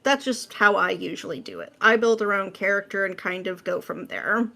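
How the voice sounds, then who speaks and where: A woman's voice: medium pitch, normal speed, gravelly, pushed and bright. A woman speaking as an interview guest, explaining things informally and often voicing her own thought process. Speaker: podcast guest, script writer. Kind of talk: casual interview chat.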